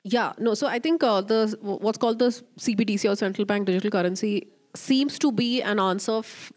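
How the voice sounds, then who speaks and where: A woman's voice: mid-range voice, a little fast. A woman in her thirties moderating a conference panel, explaining at length with many fillers, into a handheld mic. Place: conference stage, indoors. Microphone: handheld microphone.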